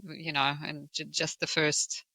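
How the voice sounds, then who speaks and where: A woman's voice: low voice, little pitch movement, unhurried, bright, forceful tone, no echo, poor audio. A woman, telling her story conversationally as a founder being interviewed. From Russia, born in Moscow. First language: Russian. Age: 40s.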